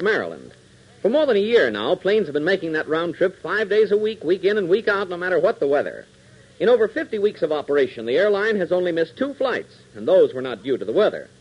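A man's voice: a little high, husky. A man announcing and narrating a 1940s radio adventure serial, speaking emphatically and in a folksy way to young listeners. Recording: dull, lo-fi 1940s radio recording.

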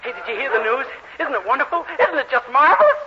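An American man playing a naive, eager, dim-witted young man in an earnest, excitable 1940s radio comedy style. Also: very high voice, fast. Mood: excited. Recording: hissy, muffled old radio recording.

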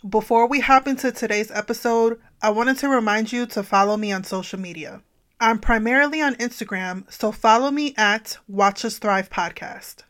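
A woman's voice: fairly high pitch, normal speed, a bit bright. A young woman hosting her own podcast, talking in a bubbly, blunt way with casual swearing. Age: young adult. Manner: bubbly, blunt, uses profanity. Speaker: podcast host.

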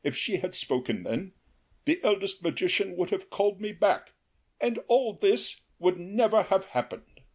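A huge, stubborn male sea crab from a storybook, as a woman reading aloud puts him on. He drawls slowly in a husky voice that swoops up and down, a little higher than a plain man. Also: animated, darkish tone. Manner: slow, stubborn, bargaining.

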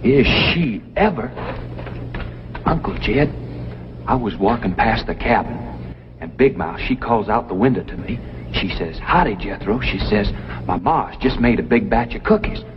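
An actor playing a naive, boastful young backwoods man with a Southern hillbilly accent, telling tales in a folksy, excitable way in an old TV sitcom. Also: on the low side, unhurried. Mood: eager, boastful. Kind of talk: 1960s TV sitcom acting. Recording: dull, noisy old TV soundtrack.

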